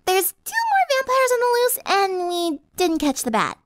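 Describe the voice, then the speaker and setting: A woman's voice: extremely high pitch, very animated, normal speed, dry recording, voice pushed up. A woman voicing a hyperactive, chatty cartoon girl in a storybook reading. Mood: excited. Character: hyperactive, high-pitched cartoon pony-girl, anxious and chatty.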